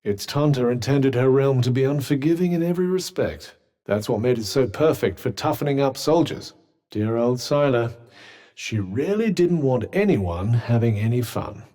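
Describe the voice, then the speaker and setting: A man's voice: medium pitch, expressive, normal speed, mellow, close to an everyday voice. Sardonic, swooping British talking cuff, acted by a man. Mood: erudite, explanatory. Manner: dry, sarcastic, pompous, articulate. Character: sardonic, haughty talking magical cuff, erudite male companion. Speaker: voice actor. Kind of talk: video game companion dialogue.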